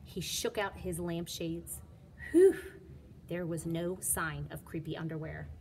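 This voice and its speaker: A woman's voice: on the low side, measured pace, mellow, slight background noise. A woman reading a children's storybook aloud as a teacher, with dramatic, emphatic delivery.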